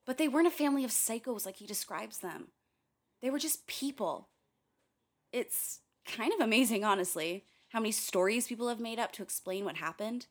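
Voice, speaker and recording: A woman's voice: fairly high pitch, lively intonation, normal speed. Earnest, wry young woman. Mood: defensive, reflective. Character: earnest, curious young woman investigating her family. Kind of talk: radio play dialogue and recorded diary.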